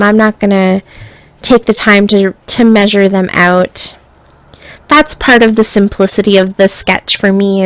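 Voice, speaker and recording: A woman's voice: medium pitch, clean tone, no room sound. Stuffy-voiced woman, chatty craft voice-over. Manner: relaxed, chatty step-by-step explaining. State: stuffy, recovering from illness. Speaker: scrapbooking designer.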